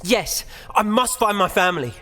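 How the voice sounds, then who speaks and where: An earnest, urgent young captive, played by a woman, determined and desperate, with lively swings of pitch. The audio drama voice rings in a long hall-like reverb over faint music. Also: moderate pace, natural voice. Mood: earnest, worried.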